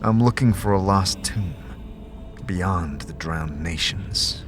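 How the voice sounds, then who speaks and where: A man's voice: sits low, slow pace, music in the background. A man voicing a wary immortal wanderer who answers in short, dry, blunt lines. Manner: terse, dry replies.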